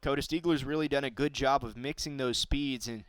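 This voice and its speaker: A man's voice: medium pitch, brisk, no echo. A young man calling baseball play-by-play for radio. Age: young adult. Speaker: play-by-play announcer.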